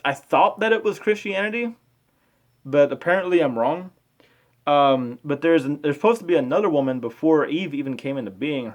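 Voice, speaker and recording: A man giving informal spoken commentary as a video host, halting, repeating himself and arguing his points. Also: on the high side. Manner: halting, repetitive, argumentative.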